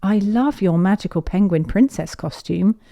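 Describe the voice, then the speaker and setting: A woman voicing an excitable, friendly little girl pig in a children's picture book, eager and full of exclamations. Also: medium pitch, moderate pace, creaky, lightly acted. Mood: delighted.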